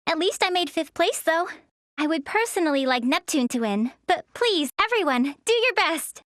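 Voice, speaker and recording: Anime game heroine: high-pitched, gentle, earnest teen girl, dry studio sound. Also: big pitch swings, normal speed, dry recording, heavily acted. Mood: gentle narrating. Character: sweet, earnest, polite teenage girl goddess candidate. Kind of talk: anime game acting and story narration.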